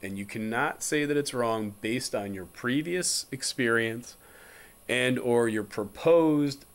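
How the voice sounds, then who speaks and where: A man in his twenties with an American accent, giving an informal philosophy lecture in a deliberate, repetitive way and checking in with his listeners. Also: mid-range voice. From Connecticut, United States. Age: 20s. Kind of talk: informal explanatory lecture over slides.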